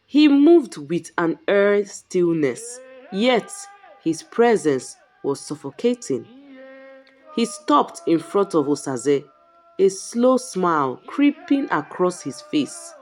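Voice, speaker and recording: A woman storyteller narrating a folktale in a dramatic, suspenseful way, with deliberate phrasing and short pauses. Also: fairly low pitch, big pitch swings, a little mellow, faint music behind.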